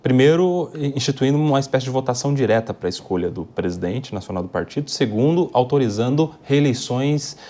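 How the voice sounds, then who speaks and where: A man's voice: medium pitch, expressive, a little fast, few pauses, warm tone. A man with a Brazilian accent moderating a live political panel as its host.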